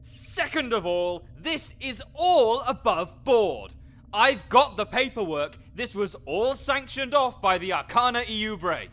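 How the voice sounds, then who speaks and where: A man's voice: high voice, sing-song, takes it slowly, fairly bright tone, full character voice. A man playing a grouchy, fussy necromancer researcher in an audio roleplay, sarcastic and wordy as he speaks to the listener. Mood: indignant, outraged.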